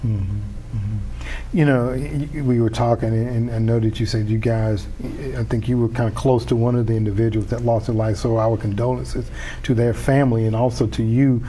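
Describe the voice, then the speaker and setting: Chatty enthusiastic community TV host. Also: male voice, mid-range voice, steady tone, brisk, dark tone, slight background noise, poor audio. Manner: enthusiastic, chatty, many restarts. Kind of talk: community TV interview.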